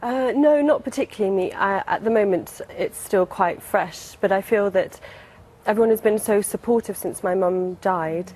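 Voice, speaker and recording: Female interview guest, medium pitch, informal, slightly hesitant and understated delivery. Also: normal speed, darkish tone. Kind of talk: casual broadcast interview.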